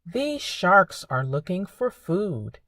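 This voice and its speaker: A woman hosting a preschool storytime, speaking in simple, expressive phrases for young children. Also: fairly low pitch, slow, no echo, studio quality. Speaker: children's librarian and storytime host. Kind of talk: preschool storytime reading and narration.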